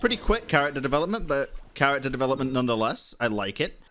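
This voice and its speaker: Very low-voiced woman streamer. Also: sing-song, fast talker, fairly bright tone. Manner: offhand, brief interjections. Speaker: game streamer. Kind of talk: casual gameplay reaction commentary.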